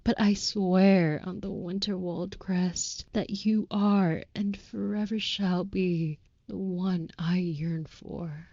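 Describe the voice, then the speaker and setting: Woman doing a vampire girlfriend for audio rp, soft dark mellow voice, super slow, formal old-timey talk, protective n tender, bit muffled dry mic. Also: lowish voice, steady tone, no room sound. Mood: tender, devoted. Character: devoted, overprotective, courtly female vampire lover. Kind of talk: intimate comfort audio roleplay.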